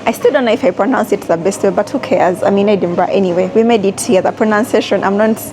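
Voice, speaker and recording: A woman's voice: mid-range voice, fast, background noise. Chatty young woman vlogging. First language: Luganda. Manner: chatty, reflective, speaks straight to viewers. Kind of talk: casual travel vlog chat. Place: cafe and airport, indoors.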